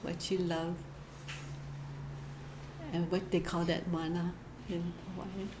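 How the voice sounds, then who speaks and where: A woman giving a short inspirational church message, gentle and halting, often laughing at herself. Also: on the low side, little pitch movement. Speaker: church speaker. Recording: echoey hall with faint room noise.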